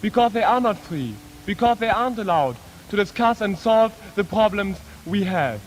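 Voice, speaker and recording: A young man from Germany with a German accent, an exchange student giving an earnest, deliberate formal speech. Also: sits high, animated, soft background music, low-quality recording. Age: young adult. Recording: 1950s archive with faint noise.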